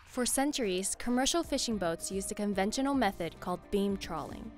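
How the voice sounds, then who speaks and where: A woman presents a scripted explainer. Her delivery is measured, at a middling pitch, with music playing underneath. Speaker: narrator and presenter.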